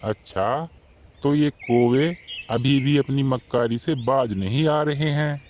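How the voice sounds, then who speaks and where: A man voicing an elderly, kindly pigeon uncle in a cartoon moral story, with an avuncular, measured manner. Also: mid-range voice, darkish tone, slight background noise, close to an everyday voice. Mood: knowing, disapproving.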